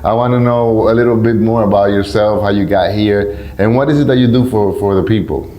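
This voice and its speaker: A man's voice: on the low side, brisk, mellow. Playful male radio host, echoey room. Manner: friendly, playful, stumbles over acronyms. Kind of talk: casual interview hosting.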